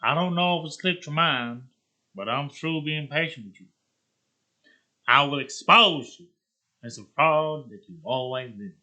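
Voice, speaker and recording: Man playing a sharp, scheming political blackmailer. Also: fairly high pitch, measured pace, pauses often, voice pushed up, poor audio. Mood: impatient threat. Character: scheming, impatient political blackmailer, movie villain.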